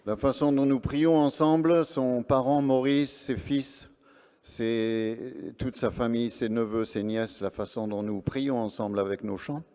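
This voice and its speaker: A man's voice: mid-range voice, steady tone, unhurried, dark tone, smooth. A man presenting and interpreting live at an event on stage, speaking earnestly phrase by phrase. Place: large event hall on stage. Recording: long reverb of a large hall.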